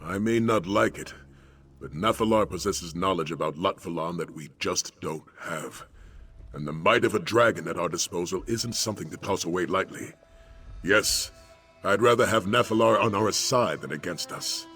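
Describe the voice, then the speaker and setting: An actor playing a steadfast, pragmatic dragon hunter in a video game, with a formal, earnest delivery. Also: male voice, deep voice, normal speed, fairly bright tone, breathy edge, faint music behind. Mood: measured, pragmatic. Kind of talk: dramatic video game dialogue.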